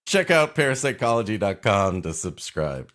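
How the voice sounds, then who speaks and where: A man's voice: on the low side, sing-song, moderate pace, a bit bright. A man co-hosting a comedy podcast, cutting in with rambling jokes. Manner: rambling, jokey interruptions. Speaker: podcast co-host.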